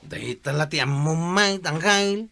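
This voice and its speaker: An actor playing an exasperated, scolding nephew in a comedy radio drama. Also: male voice, on the high side, lively intonation, measured pace, gravelly, sharp tone, no room sound, heavily acted. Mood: exasperated, resigned. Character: exasperated nephew of the captain relaying complaints.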